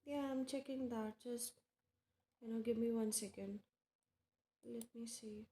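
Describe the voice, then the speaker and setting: A woman with an Indian English accent, hosting a live tarot stream and chatting casually with viewers by name, unhurried and full of fillers. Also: fairly high pitch, fairly even intonation, mellow, slight background noise. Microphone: earphones with mic. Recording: echoey room.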